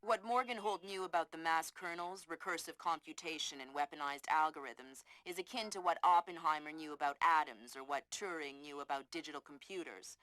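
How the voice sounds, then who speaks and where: A woman's voice: sits low, fairly quick, hardly any pauses, pushed and bright, no echo, low-quality recording. A woman playing a cool, articulate intelligence analyst in a video game briefing. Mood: serious.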